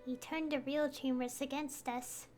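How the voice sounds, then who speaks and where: A woman voicing a feisty, loyal small companion in a video game, quick to protest. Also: high voice, level tone, darkish tone, faint music behind. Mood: alarmed.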